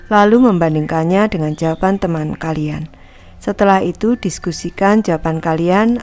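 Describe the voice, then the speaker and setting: Woman teacher with a low voice. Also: darkish tone. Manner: calls herself Ibu and addresses the class as anak-anak. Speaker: primary school teacher. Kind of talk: reading and explaining a textbook lesson to children.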